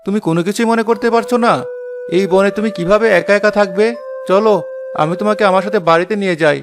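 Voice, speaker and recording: A man's voice: high-pitched, quick pace, over music, lightly acted. A man voicing a kind but weary village woodcutter in a children's cartoon. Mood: kind, concerned.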